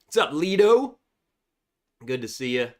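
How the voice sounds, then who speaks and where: Man, congested, livestream host, chatty, reads chat aloud, fairly high voice, animated pitch. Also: unhurried. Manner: reads viewer chats aloud, chatty and upbeat. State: congested from a cold.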